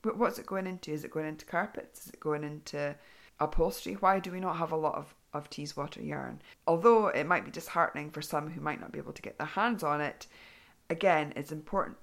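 A woman hosting a craft podcast in a chatty, rambling way, full of ums and restarts, her voice going hoarse. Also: low-pitched, quick pace. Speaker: podcast host. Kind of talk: casual podcast chat.

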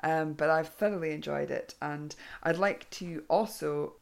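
A woman hosting a craft podcast in a chatty, rambling way, full of ums and restarts, her voice going hoarse. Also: deep voice, a little slow. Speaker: podcast host. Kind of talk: casual podcast chat.

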